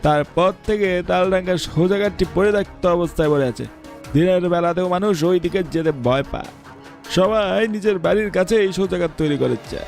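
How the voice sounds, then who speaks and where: A man voicing a village uncle in a horror cartoon, creaky-voiced, admonishing and gravely telling the tale. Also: sits high, moderate pace, darkish tone, soft background music. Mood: grave, explanatory. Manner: admonishing, expository storytelling. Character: village uncle, scolding, gravely explaining a haunting.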